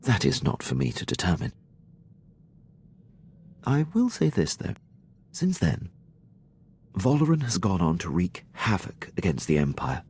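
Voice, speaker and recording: An actor playing a dutiful Imperial military officer in a video game, speaking with precise, deferential formality. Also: male voice, deep voice, huge pitch swings, a little slow, long pauses, a little mellow, slightly rough, full character voice. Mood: grave, intent. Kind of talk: scripted video game dialogue.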